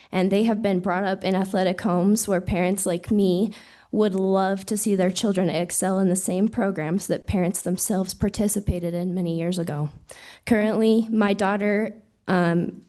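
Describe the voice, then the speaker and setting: Hoarse woman giving earnest testimony. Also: medium pitch, dark tone. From Wyoming. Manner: earnest, proud, reading from notes. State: hoarse, has lost her voice. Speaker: parent giving public testimony. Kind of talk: prepared public comment. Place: public hearing room. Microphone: desk microphone.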